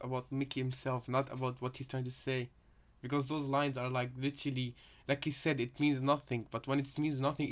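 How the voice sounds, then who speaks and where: A man casually commenting on game voice lines as a co-host, rambling and circling back over his words in a halting way. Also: medium pitch. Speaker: reaction video co-host.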